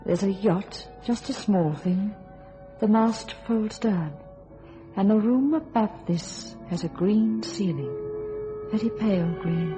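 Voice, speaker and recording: An actress playing a haunted, pleading wife in a radio thriller, with an earnest and dreamy dramatic delivery. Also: female voice, medium pitch, slow, mellow. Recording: radio drama with music behind.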